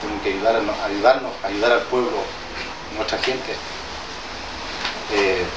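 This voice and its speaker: Halting man, far off in an echoey hall. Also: on the low side, fairly even intonation. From southern Chile. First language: Mapudungun. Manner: halting, hesitant, plain-spoken with many restarts. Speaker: traditional healer addressing a gathering. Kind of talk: informal public address. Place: indoor meeting hall with an audience. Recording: roomy, distant and noisy.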